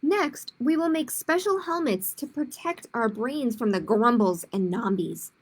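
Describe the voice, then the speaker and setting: A woman's voice: on the high side, expressive, unhurried. A woman voicing a small, nervous young storybook dinosaur who tries to be brave, in an earnest, dramatic way.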